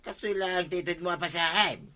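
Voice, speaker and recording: Grumbling male tricycle driver, Ilocano accent, bright forceful voice, exasperated, comedy radio drama. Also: sits high, moderate pace. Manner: grumbling, protesting.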